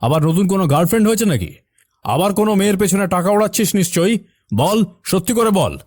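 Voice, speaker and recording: A man's voice: high-pitched, lively intonation, fast talker, a little mellow, no room sound. A man playing an irritated, suspicious middle-aged father in an audio detective drama, with an accusing manner. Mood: angry, suspicious. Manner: accusing, interrogating. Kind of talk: audio detective story acting.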